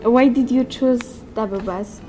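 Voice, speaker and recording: A woman interviewer asking short questions slowly and carefully. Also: on the high side, dark tone. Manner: very slow, careful phrasing. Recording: music playing faintly underneath. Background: music playing.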